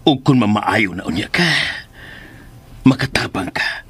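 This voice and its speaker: A man playing a caring, hopeful older husband and grandfather with a husky voice in a radio drama. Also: on the low side, animated, unhurried, no echo, full character voice. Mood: gentle, encouraging.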